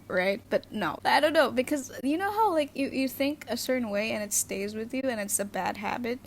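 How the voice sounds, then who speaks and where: A woman's voice: fairly high pitch, a little hiss or hum. A young woman whose first language is Indonesian, hosting her channel in a chatty, giggly way with lots of fillers. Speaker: channel host. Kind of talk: casual educational chat.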